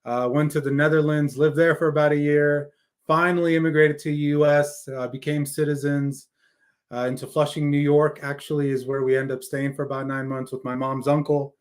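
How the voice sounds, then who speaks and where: A man's voice: a little high, flat delivery, fairly quick. A man with an American accent, speaking as an interview guest and telling his personal story conversationally. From raised in California, born in Afghanistan.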